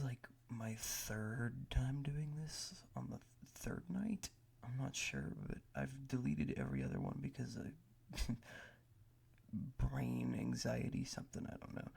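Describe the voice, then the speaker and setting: Male ASMR creator, heavy vocal fry, very breathy half-whisper, close-miked, hesitant self-deprecating ramble. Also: medium pitch, a little mellow. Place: bedroom at a desk. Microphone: close ASMR microphone.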